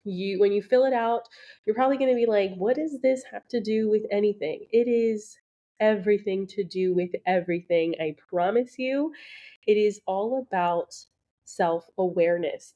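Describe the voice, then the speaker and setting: Slow-talking congested woman, mellow voice. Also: mid-range voice, highs cut off. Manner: chatty, encouraging, many ums. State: congested from allergies, a little nasal. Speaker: podcast host and beauty business coach. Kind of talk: casual solo podcast talk.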